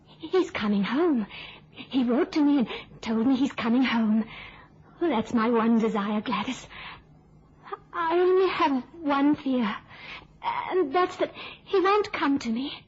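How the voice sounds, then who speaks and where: An actress playing a fragile, obsessive older spinster in an old-time radio drama, speaking haltingly and repeating herself with anxious fervour. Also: female voice, high-pitched, expressive, breathy edge, dull audio, full character voice. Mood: anguished longing.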